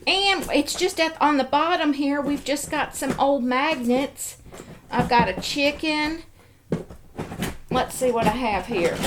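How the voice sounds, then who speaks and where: Slow-talking woman casually showing off toys. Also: high-pitched, background noise. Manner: addresses toys and viewers playfully, cooing. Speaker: host of a toy show-and-tell video. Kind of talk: casual show-and-tell chat.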